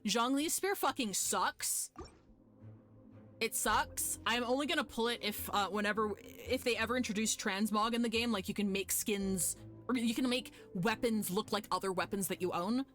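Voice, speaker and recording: A woman's voice: on the high side, moderate pace, fairly bright tone. A woman streaming a video game live, commenting casually in an informal, sweary, self-mocking way. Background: game music.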